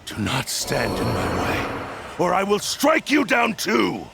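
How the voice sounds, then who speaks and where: A man's voice: high voice, huge pitch swings, a little slow, gravelly, very noisy, exaggerated voice. A man playing an arrogant, vengeful sentient sword that possesses people, speaking in a grandiose, menacing villain style for a video game. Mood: furious, commanding. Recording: loud background music.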